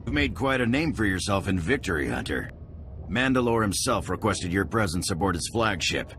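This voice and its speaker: A man's voice: low voice, lively intonation, a bit bright, a little hiss or hum. An actor playing a dignified male official of a warrior order with a formal manner in a video game. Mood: solemn approval. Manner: formal, measured.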